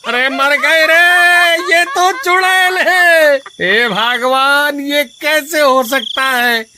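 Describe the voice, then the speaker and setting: A man's voice: very high-pitched, extremely slow, noisy background, full character voice. A man voicing a greedy, cowardly village milkman in a melodramatic cartoon story, whiny and exclaiming. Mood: terrified.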